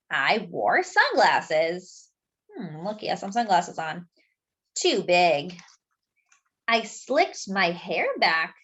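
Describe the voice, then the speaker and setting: Storyteller, female, unhurried and animated, stops to comment on the pictures. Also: mid-range voice, lo-fi sound. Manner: expressive, pausing to point out pictures. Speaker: children's storyteller. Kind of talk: picture book read-aloud.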